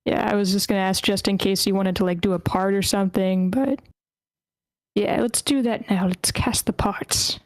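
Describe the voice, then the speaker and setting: महिला होस्ट, बिल्कुल सूखी, बिना गूंज वाली आवाज़. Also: female voice, mid-range voice, steady tone, normal speed, no echo. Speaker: host of an online screenplay table read. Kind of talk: casual chat, moderating an online meeting. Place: online voice meeting, dry close sound.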